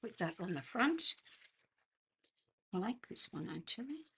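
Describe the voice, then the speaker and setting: An Australian woman with a croaky voice hosts a casual live craft tutorial, chatting and murmuring to herself as she works. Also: medium pitch, sing-song, a bit bright. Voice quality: husky, croaky. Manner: unhurried, chatty, murmuring to herself while working. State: croaky voice, clearing throat. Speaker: host of a craft demonstration livestream.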